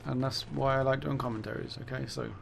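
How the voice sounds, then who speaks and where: Rambling gravelly-voiced man, voice going. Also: medium pitch, flat delivery, fast talker, slight background noise. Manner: rambling, self-correcting, swears then laughs it off. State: losing his voice. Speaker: gaming YouTuber. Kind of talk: casual commentary monologue.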